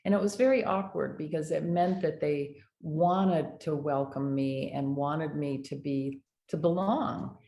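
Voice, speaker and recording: Low-voiced older woman telling lively stories. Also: unhurried, vocal fry, few pauses. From United States. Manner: animated anecdotes with laughter. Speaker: dental hygienist and educator. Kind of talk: casual interview storytelling. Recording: echoey room, faint noise.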